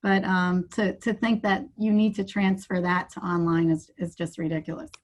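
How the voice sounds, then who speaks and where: A woman's voice: fairly low pitch, level tone, moderate pace. A woman taking part in an online workshop over a video call, frankly telling a story about school life. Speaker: workshop participant. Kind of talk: casual chat.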